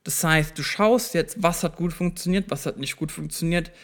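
A man's voice: fairly high pitch, moderate pace. A man hosting a solo self-improvement podcast, talking directly to the listener and explaining step by step, conversational with small restarts. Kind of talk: solo motivational podcast talk.

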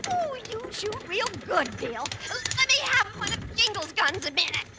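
A woman's voice: very high-pitched, sing-song, normal speed. An actress playing a feisty, folksy older frontier woman in an old radio Western, plucky and exclamatory. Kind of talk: 1950s radio Western acting. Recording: very noisy old-time radio recording.

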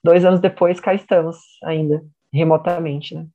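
A woman student recounting her university experience in a measured way, often checking in with tag questions. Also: fairly low pitch, steady tone, dull audio. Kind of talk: testimonial interview.

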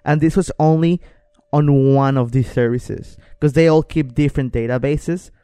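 Clear-voiced young man, joking and slangy. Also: a little high, fairly even intonation, normal speed, mellow, dry recording. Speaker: podcast co-host. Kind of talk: casual chat.

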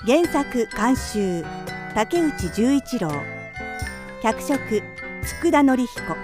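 Female announcer, scripted credit read: unhurried, measured, formal, music bed. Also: on the high side, sing-song. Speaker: radio announcer and narrator.

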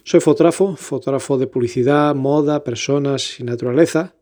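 Earnest man with a mellow voice. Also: medium pitch, moderate pace. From Portugal. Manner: rambling and earnest, with restarts. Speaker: podcast host, photographer. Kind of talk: casual solo podcast monologue.

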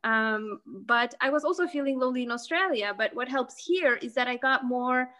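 Hesitant young woman, bright and high. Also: normal speed. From Lithuania. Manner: hesitant, many fillers and restarts, laughs often. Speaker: podcast guest. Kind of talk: casual interview conversation.

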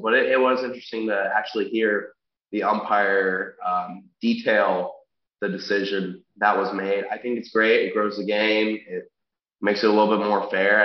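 A young man with a congested, nasal voice, co-hosting a sports podcast in a casual chatty way, with frequent ums and restarts. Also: fairly low pitch. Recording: echoey room, dull lo-fi sound.

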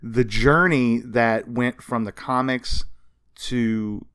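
A man's voice: mid-range voice, fairly even intonation, slow, a bit bright, clean tone. A man co-hosting a podcast in casual chat, talking with a fan's enthusiasm. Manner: enthusiastic self-described history nerd. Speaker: podcast co-host.

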